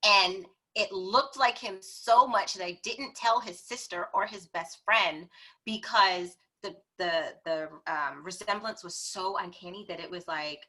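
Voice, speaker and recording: Middle-aged female guest, bright tense tone, expressive storyteller who acts out her reactions. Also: mid-range voice, unhurried, rough recording. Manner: expressive, chatty, dramatic retellings of her own reactions. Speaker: podcast guest. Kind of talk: casual personal storytelling.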